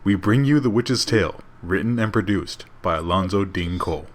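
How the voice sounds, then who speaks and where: Low-voiced male announcer, very noisy recording. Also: normal speed. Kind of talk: scripted radio drama introduction.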